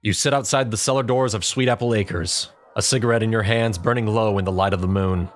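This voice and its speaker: A man's voice: fairly low pitch, fairly even intonation, a little fast, soft background music. A man narrating a scripted story in the second person.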